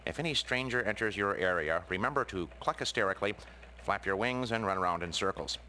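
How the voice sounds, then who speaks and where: A man voicing a nervous male rooster expert who lectures quickly in a cartoonish game cutscene. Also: lowish voice, sharp tone, slight background noise, low-quality recording. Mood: alarmist. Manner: fast, alarmist lecturing.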